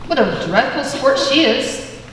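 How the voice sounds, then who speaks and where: A woman's voice: sits high, very animated, unhurried, fairly bright tone, heavily acted. A young woman playing a flighty, haughty socialite in a stage comedy, with an affected, dramatic delivery. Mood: haughty, gushing. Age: young adult. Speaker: student stage actor. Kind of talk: theatrical stage comedy acting. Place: large indoor school auditorium, on stage. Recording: echoey auditorium, distant mic, clear noise.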